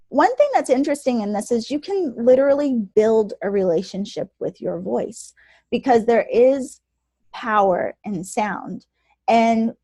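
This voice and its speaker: Soft-spoken woman podcast host, lively and casual, recorded dry and close. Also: on the high side, dry recording. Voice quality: naturally soft voice. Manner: chatty, animated, laughs often. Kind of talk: casual chat.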